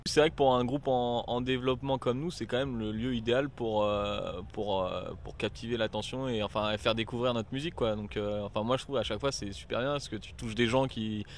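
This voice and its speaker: A man's voice: mid-range voice, steady tone, brisk, hardly any pauses, slight background noise. A man from a band chatting loosely in a festival interview, rambling with many fillers and casual slang. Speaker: band member. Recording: music playing behind.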